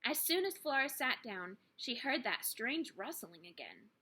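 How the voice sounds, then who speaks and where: A woman's voice: on the high side, sing-song, measured pace, pushed and bright, husky. A woman reading a children's book aloud expressively, with dramatic, emphatic phrasing for young listeners. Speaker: teacher reading a story aloud.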